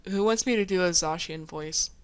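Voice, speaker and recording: A woman's voice: fairly low pitch, moderate pace, clear, resonant tone. A woman from the United States chatting casually as a video host, informal and encouraging. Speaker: video host, amateur voice actor. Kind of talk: casual chat.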